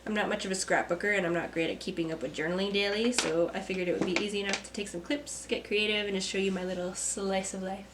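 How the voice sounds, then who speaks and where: A young woman, a mother and artist, talking to camera from a script in a soft, reflective vlog; she sounds stuffy and congested. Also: medium pitch, steady tone, quick pace, hardly any pauses, noisy background. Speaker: vlogger, artist. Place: home. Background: kids playing.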